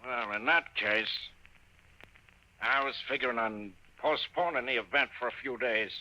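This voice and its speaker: An actor playing a gruff, vengeful old retired railroad detective in a 1950s radio comedy, speaking bluntly and grumbling. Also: male voice, medium pitch, moderate pace, sharp tone, lo-fi sound. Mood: vengeful resolve. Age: older.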